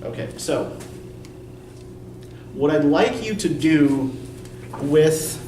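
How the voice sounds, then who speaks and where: A man's voice: on the high side, expressive, very slow, darkish tone, recorded from a distance, a little hiss or hum. Rambling young male instructor in echoey classroom. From Eastern Pennsylvania. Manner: rambling, self-deprecating, frequent "right?" tags. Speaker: college writing instructor. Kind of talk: casual classroom lecture. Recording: echoey classroom recorded from afar.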